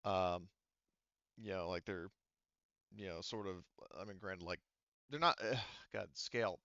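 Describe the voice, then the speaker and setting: Halting, clean-voiced man running a game. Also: deep voice, sharp tone, dry recording, highs cut off. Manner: halting, rambling, hedging. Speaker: game master. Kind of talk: improvised tabletop game narration, casual.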